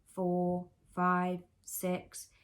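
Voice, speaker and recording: A woman's voice: fairly low pitch, monotone, extremely slow. Woman playing a kindly old toy bear. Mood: counting aloud. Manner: gentle, reassuring. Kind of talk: bedtime storybook reading.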